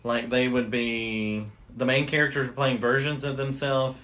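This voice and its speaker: A man's voice: mid-range voice, level tone, normal speed, a bit bright. A man hosting a casual talk show, explaining things in a conversational, enthusiastic way. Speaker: podcast host. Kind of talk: casual chat about radio history.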